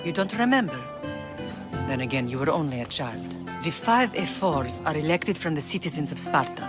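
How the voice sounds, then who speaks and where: A woman's voice: low-pitched, big pitch swings, moderate pace, music in the background. An actress playing a stern, proud middle-aged Spartan mother in a video game, firm and dignified. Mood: firm, maternal. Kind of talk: video game cutscene acting.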